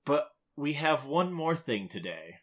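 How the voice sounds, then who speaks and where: A man's voice: a little high, very animated, a little slow, a bit bright. A man co-hosting a podcast in casual, playful banter, sometimes reading out quiz questions in a teasing way. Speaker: podcast co-host.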